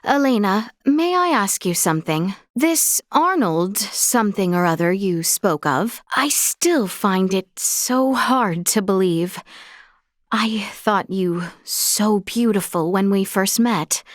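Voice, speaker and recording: A young woman playing a courteous, earnest princess in a video game, speaking in formal, archaic courtly phrases with gracious, measured manner. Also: mid-range voice, lively intonation, no room sound, studio quality. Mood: gentle, earnest. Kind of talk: scripted video game character dialogue.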